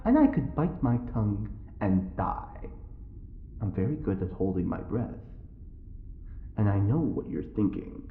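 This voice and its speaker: Audio drama role: male actor as a sly, well-bred convict, arch and mock-polite, low voice with wildly swinging intonation, in an echoey room. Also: big pitch swings, unhurried, pauses often, phone-quality sound, a little hiss or hum. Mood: defiant, arch. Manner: arch, drawling, mock-polite, formal phrasing. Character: smug, theatrical, well-bred male prisoner with a sly wit. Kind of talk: scripted audio drama acting.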